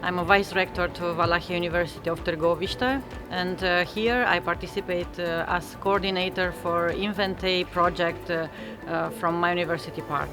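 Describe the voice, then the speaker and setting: Woman, lowish voice, hesitant, music in the background. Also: fairly even intonation, moderate pace. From Romania. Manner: hesitant, many fillers. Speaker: university vice rector. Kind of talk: event interview.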